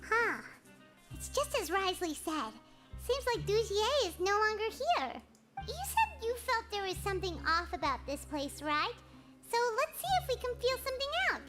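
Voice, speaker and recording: A woman's voice: very high voice, sing-song, moderate pace, over music. A woman voicing a tiny, cheerful, chatty floating fairy companion in a video game, perky and blunt. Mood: curious, eager.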